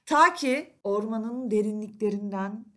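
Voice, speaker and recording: A woman storyteller narrating a children's fairy tale in an expressive, conversational way. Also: mid-range voice, unhurried. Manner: animated, conversational, addresses listeners. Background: faint music.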